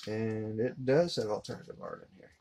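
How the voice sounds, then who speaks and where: A man in his thirties giving a relaxed, rambling unboxing commentary while handling discs at his desk. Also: on the low side, normal speed. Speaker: collector unboxing a disc set. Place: collectibles room indoors. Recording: noisy home recording.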